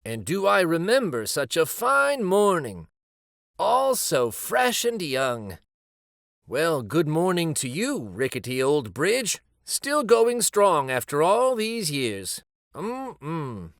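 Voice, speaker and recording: A gentle old grandfather who once told stories in the street, acted out by a man reading a picture book. He muses nostalgically and slowly, with small hesitations, and his slightly raised voice rises and falls in a lively way. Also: on the high side, gravelly, no echo, clean studio sound, heavily acted. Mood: fond, nostalgic. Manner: hesitant, musing, with little ums.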